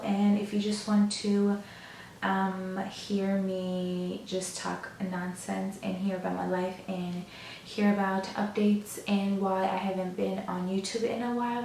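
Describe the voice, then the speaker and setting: Young woman vlogger, hoarse and raspy, rambling casual chat; echoey home room, faint hiss behind. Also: medium pitch, darkish tone, recorded from a distance. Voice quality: slightly raspy. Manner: rambling, many ums and restarts. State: hoarse from a sore throat. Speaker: beauty vlogger. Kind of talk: casual get-ready-with-me chat.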